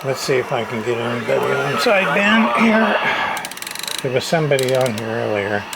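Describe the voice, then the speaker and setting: A man giving a casual, halting, hands-on repair commentary in plain, informal speech. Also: medium pitch, very animated, creaky, very noisy. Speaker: hobbyist repairer showing a radio repair.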